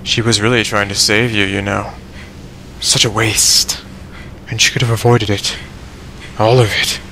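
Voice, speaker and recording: A man's voice: lowish voice, level tone, unhurried, gravelly, bright, forceful tone, a little hiss or hum, heavily acted. A man playing a vengeful, unhinged vampire villain with a taunting, mock-polite menace in an audio drama. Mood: menacing mock regret. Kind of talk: dramatic audio fiction acting.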